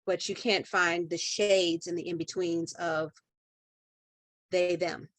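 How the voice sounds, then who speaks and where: A woman's voice: on the low side, little pitch movement, takes it slowly, fairly bright tone, no room sound. Emphatic woman guest on a podcast. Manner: emphatic, repeats phrases for rhythm. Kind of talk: conversational podcast interview.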